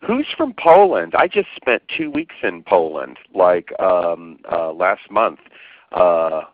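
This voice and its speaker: A man's voice: deep voice, very animated, slow pace. A middle-aged man with a Southern accent presents a webinar in a chatty, friendly way, with many fillers. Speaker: presenter, accountant and trainer. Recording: tinny phone line.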